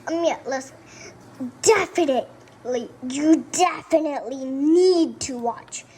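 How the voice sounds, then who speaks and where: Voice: sits high, a little slow, warm tone. A child chatting casually to viewers as co-host of a homemade puppet show video. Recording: noisy homemade recording.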